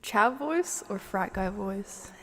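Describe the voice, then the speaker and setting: A woman live streamer with a soft, gentle manner, drifting between casual ASMR chat and soft singing. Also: medium pitch, lively intonation, slow pace, a little hiss or hum.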